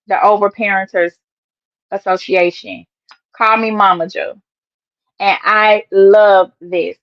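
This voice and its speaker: A slightly hoarse woman hosts a casual news livestream and chats to her viewers. She talks emphatically and often says her points twice. The sound is dull and lo-fi, close and dry. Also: on the high side, no echo. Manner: chatty, repeats her points, emphatic exclamations. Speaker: host of an online news show.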